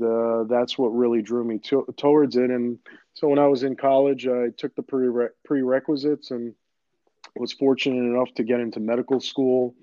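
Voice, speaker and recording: Surgeon guest from New York, Greek native speaker; warm low tone, dry close sound, explanatory and unhurried. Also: male voice, no room sound, lo-fi sound. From Queens, New York. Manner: measured, explanatory, with frequent "uh" and "um". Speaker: orthopedic surgeon, podcast guest. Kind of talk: conversational interview answers.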